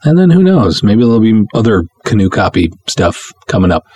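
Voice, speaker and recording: A man's voice: on the low side, very animated, fairly quick, a little mellow, husky. A man hosting a podcast, talking directly to his listeners in a monologue. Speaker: podcast host, writer and director. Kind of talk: podcast monologue, motivational announcements.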